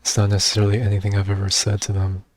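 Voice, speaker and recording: A young man talking in a soft, reserved and hesitant way, with many fillers, in a personal video monologue. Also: fairly low pitch, completely flat delivery, very fast, no room sound. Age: young adult. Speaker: vlogger.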